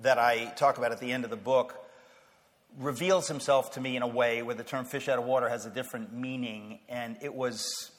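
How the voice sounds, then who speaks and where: A man's voice: mid-range voice, fairly even intonation, a little fast. Joking, restarting man in a reverberant hall. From Queens, New York. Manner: conversational and humorous, with stammered restarts and rising emphasis. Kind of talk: casual personal talk.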